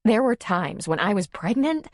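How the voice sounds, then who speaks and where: A woman voicing a tearful, timid young single mother who confides haltingly in an audiobook story. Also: medium pitch, sing-song, dry recording, studio quality, pitched above the performer's own voice. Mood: emotional confession. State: tearful, sniffling.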